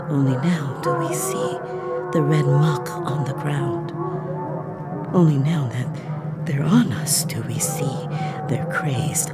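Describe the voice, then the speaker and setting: An actress playing a naive visitor who narrates a horror audio drama in a deliberate, breathy voice that slips toward whispering. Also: female voice, low-pitched, exaggerated voice. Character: dog-loving visitor narrating in a collective "we", naive, turning horrified. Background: music score.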